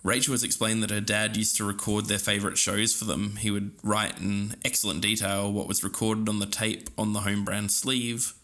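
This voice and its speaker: A man's voice: fairly low pitch, level tone, normal speed, hardly any pauses, sharp tone. A man playing an eager podcast co-host who investigates a mystery he believes in. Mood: informative, intrigued.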